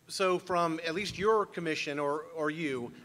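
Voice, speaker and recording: Guy running a conference workshop, bright slightly high voice, keeps throwing questions to the room. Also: a little slow. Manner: questioning, inviting the room to respond. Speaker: workshop facilitator. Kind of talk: leading a group discussion.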